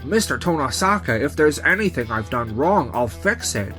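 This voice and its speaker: A man's voice: mid-range voice, sing-song, normal speed, sharp tone, faint music behind. A man voicing an anxious, stammering young male nurse, insecure and pleading, in a manga dub. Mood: desperate pleading. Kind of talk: animated romantic-comedy dub acting.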